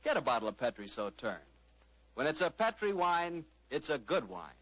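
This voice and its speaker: An American man announces an old radio show with folksy enthusiasm. His medium-pitched voice swoops with lively intonation. The recording is dull and vintage. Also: moderate pace, very noisy. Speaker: announcer and host. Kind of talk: 1940s radio sponsor pitch and hosting.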